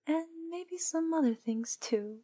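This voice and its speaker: A woman playing a teasing, controlling witch in an intimate audio roleplay, breathy and deliberate, often dropping into whispers. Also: high-pitched, lively intonation, dark tone. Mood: sweetly coaxing. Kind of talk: intimate roleplay audio drama, hypnosis ASMR.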